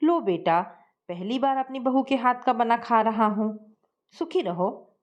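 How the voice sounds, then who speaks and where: A woman's voice: on the high side, measured pace, natural voice. A woman voicing a kind, jovial middle-aged father-in-law who blesses his daughter-in-law. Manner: warm blessing, laughing.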